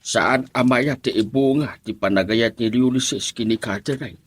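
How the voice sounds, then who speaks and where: An actor playing a jovial, doting old grandfather with a raspy voice, in an Ilocano radio drama. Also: mid-range voice, moderate pace, no room sound, lightly acted. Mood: amused, advising.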